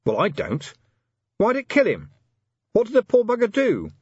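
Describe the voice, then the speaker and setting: A man voicing a blunt, harried male watch commander who is quick to bellow. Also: high-pitched, huge pitch swings, darkish tone, slightly rough, dry recording, lo-fi sound, pitched above the performer's own voice, full character voice. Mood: sour.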